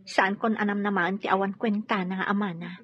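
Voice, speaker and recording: An actress playing a hurt, resolute pregnant wife in a radio drama, speaking emphatically with an aggrieved manner. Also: female voice, mid-range voice, little pitch movement, brisk, fairly bright tone, natural voice. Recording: dull lo-fi radio drama audio.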